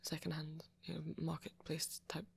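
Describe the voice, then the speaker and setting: Man as a shy, breathy posh British vampire boy. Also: on the high side, completely flat delivery, moderate pace, exaggerated voice. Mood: shy, hesitant. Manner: hesitant, stammering, soft-spoken, apologetic. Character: shy, apologetic young male vampire, politely awkward. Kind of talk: intimate ASMR roleplay audio.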